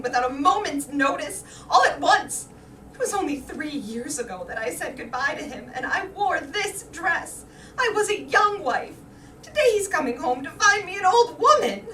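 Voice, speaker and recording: A woman's voice: high-pitched, sharp tone, exaggerated voice. A woman playing a vain, anxious middle-aged wife in a staged reading, with a theatrical, emotional delivery. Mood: distraught. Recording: distant roomy stage recording with background noise.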